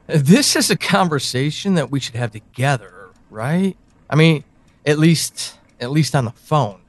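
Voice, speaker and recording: An actor playing an anxious, conflicted man in an audio drama monologue, speaking haltingly with sighs. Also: on the high side, dry recording. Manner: hesitant, halting, sighing. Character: anxious, conflicted man leaving voice messages to a missing loved one.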